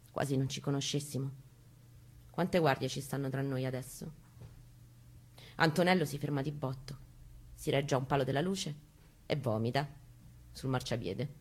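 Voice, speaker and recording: A woman reading a first-person narrative aloud in an intense, theatrical, emphatic way. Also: rumbling low. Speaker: stage reader and narrator. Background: music accompaniment, guitar.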